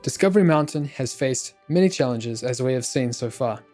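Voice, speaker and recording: A man's voice: medium pitch, expressive, fairly quick, a little mellow, soft background music. A man reading scripted documentary narration as the host.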